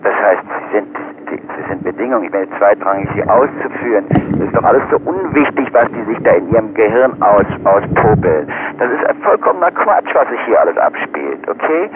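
A man's voice: lowish voice, big pitch swings, a little fast, hardly any pauses. A man in a heated phone conversation, irritable and argumentative, ranting and cutting in over a muffled line. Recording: muffled noisy telephone line recording.

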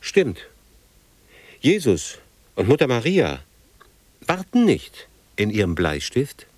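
A man's voice: medium pitch, wildly swooping pitch, takes it slowly, no room sound, full character voice. An actor in a German radio drama playing an anxious man who presses in clipped, urgent commands. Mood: restless. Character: anxious, urgent man hurrying his beloved to flee.

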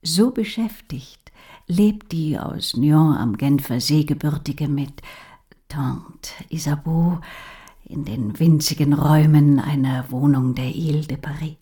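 An actress playing a composed woman who presents an artist's life in a hushed, breathy radio drama narration. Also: low voice, slow pace. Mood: hushed, measured.

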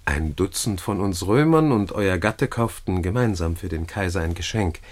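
Scheming, husky Roman seducer. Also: male voice, sits low, lively intonation, moderate pace. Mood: courteous, persuasive. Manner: courtly, florid, declamatory. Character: smooth-tongued, scheming Roman gentleman, flattering seducer. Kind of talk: classical German radio play verse acting.

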